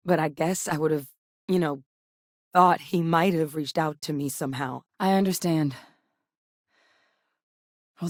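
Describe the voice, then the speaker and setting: An earnest woman scientist from a sci-fi game, with a fairly low voice and measured, articulate delivery. She sounds serious and duty-minded, recorded dry and close with no room sound. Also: dry recording. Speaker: video game voice actress. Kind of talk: scripted game character dialogue.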